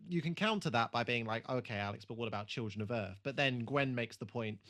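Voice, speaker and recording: A man's voice: medium pitch, fairly quick, a bit bright. A man co-hosting a casual TV re-watch discussion, talking in an analytical, hedging way. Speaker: podcast co-host.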